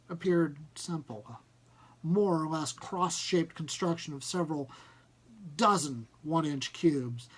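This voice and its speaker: A man's voice: sits high, fairly bright tone. A man narrating a first-person short story, reading it aloud. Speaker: story reader.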